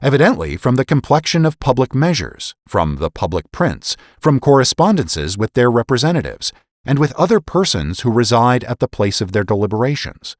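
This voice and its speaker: A man giving a dramatic, formal reading of historical political essays as a narrator, with deliberate, emphatic oratorical delivery. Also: mid-range voice, big pitch swings, dry recording, studio quality. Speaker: podcast host and narrator.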